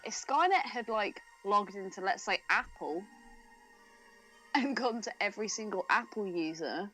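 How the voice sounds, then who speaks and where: A woman's voice: mid-range voice, expressive, measured pace, fairly bright tone, soft background music, poor audio. A woman co-hosting a podcast in casual, bantering chat. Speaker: podcast co-host.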